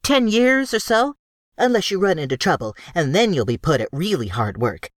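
A man voicing a cheerful, troublemaking female gnome in a high, bubbly, boisterous way for an audiobook. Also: very animated, normal speed, dry recording, studio quality. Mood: consoling. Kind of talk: audiobook character voice.

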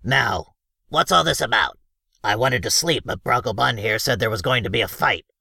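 A man voicing a rough, fight-loving male gnome in a gruff, gravelly voice. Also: medium pitch, expressive, moderate pace, sharp tone, no room sound, studio quality, full character voice. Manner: gruff, blunt. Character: rough brawling male gnome, cheerfully violent.

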